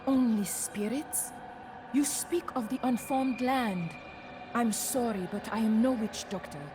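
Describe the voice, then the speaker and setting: A woman voicing a devout, resolute warrior pilgrim in a fantasy game, with a measured, portentous and formal manner. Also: fairly high pitch, background noise, natural voice. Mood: solemn, resolute. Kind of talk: fantasy video game acting. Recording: loud music under the voice.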